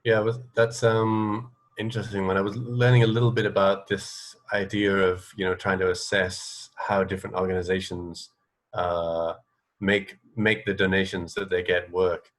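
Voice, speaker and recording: Low-voiced man, halting interviewer. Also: flat delivery. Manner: tentative, halting, thinking aloud. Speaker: radio interview host. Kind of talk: casual unscripted interview.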